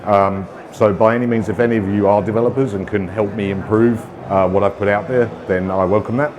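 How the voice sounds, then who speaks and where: Middle-aged British man, low voice, casual conference presenter with filler-heavy delivery, audience murmur audible. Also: fairly even intonation, a little fast, crowd noise. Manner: casual, self-deprecating, frequent ums and uhs. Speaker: conference speaker. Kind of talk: technical conference talk.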